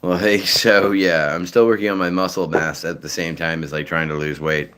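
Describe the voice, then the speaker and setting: Low voiced massachusetts guy rambling casual, lots of likes and ums, swears a lot. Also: steady tone, normal speed, noisy background. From Massachusetts, North Shore. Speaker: voice actor. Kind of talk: casual livestream chat.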